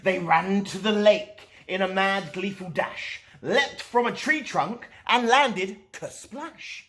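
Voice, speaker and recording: A man narrating a rhyming children's story as a storytime host, in an expressive, dramatic style. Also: high voice, slow.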